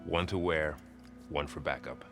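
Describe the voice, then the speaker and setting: A low-pitched young male warrior companion from a game cutscene. He talks plainly and gently, with a steady, supportive temper. Music is clearly heard behind him. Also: a little slow. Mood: supportive, calm. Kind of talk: naturalistic video game cutscene acting.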